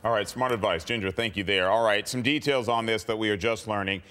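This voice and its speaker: An American man anchoring live breaking news on a morning show, with emphatic, deliberate delivery. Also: fairly low pitch, a bit bright.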